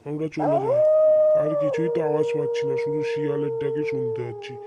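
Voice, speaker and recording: A man's voice: very high voice, huge pitch swings, quick pace, muffled recording, exaggerated voice. A man playing a young friend on a spooky night picnic in an informal cartoon style. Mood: uneasy. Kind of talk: cartoon voice acting. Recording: loud noise with animal howls.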